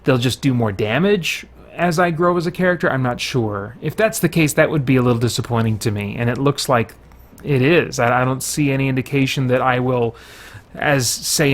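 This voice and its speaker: A man giving casual video game commentary as a reviewer, thinking aloud in informal language with mild exclamations. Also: medium pitch.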